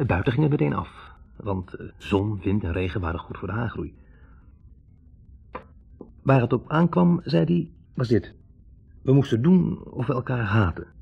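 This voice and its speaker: Wavering young male medical student for radio drama: low, husky, hesitant, on a dull old recording. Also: expressive, normal speed, long pauses, soft background music. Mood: wry, recounting. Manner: hesitant, searching for words. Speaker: actor in a radio play. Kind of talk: 1960s Dutch radio drama acting. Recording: dull, band-limited 1960s radio recording.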